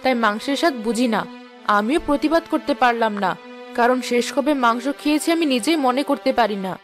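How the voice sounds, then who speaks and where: A woman's voice: fairly high pitch, fairly quick, soft background music, close to an everyday voice. A woman voicing a poor, proud village wife in a cartoon, with a nagging, sarcastic and resentful manner. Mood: aggrieved. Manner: complaining, sarcastic. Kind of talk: cartoon character dialogue.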